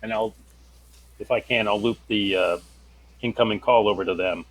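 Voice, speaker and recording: A man's voice: on the low side, fairly even intonation, normal speed, breathy edge, rough recording. A man talking casually as a player in a tabletop game.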